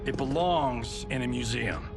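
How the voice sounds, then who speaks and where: A man's voice: medium pitch, measured pace, creaky, loud music behind the voice. An actor playing a cocky, self-promoting action movie star with an American accent and a brash, quippy, salesman-like manner. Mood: heroic, quippy. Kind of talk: cinematic video game acting.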